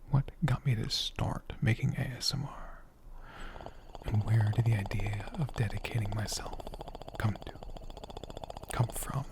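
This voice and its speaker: A man speaking in a gentle, intimate, soft-spoken ASMR style as a creator addressing an audience. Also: on the low side.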